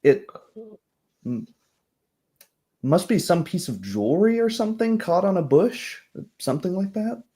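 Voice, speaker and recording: A man's voice: high voice, very animated, a little slow, long pauses, warm tone. A man improvising narration as the game master of a tabletop fantasy roleplay.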